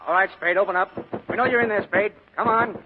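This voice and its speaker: A brusque police lieutenant played by a man in a 1940s radio mystery, curt and suspicious, pressing in a slightly high, quick voice. The old broadcast is clearly noisy. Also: narrow, tinny audio, natural voice. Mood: demanding. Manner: curt, pushy, interrogating.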